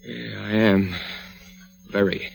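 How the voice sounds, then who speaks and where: An American actor playing an evasive, rattled husband in a 1940s radio thriller, speaking in clipped, hesitant phrases. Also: male voice, lowish voice, steady tone, takes it slowly, creaky, soft background music, heavily acted, low-quality recording. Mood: weary, uneasy. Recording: vintage radio recording.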